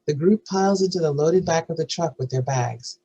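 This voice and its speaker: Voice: medium pitch, brisk, warm tone, husky, low-quality recording. A narrator reading scene headings and action lines from a screenplay at a table read. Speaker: narrator reading stage directions.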